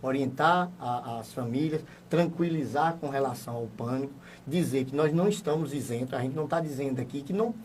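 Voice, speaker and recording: A man's voice: medium pitch, fairly quick, hardly any pauses, a little mellow. A man in a radio studio interview explains his points in a formal way and presses them with growing emphasis. He sits back a bit from the microphone, so the voice comes across slightly distant. There is a faint hum of room ambience behind him. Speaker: municipal education secretary.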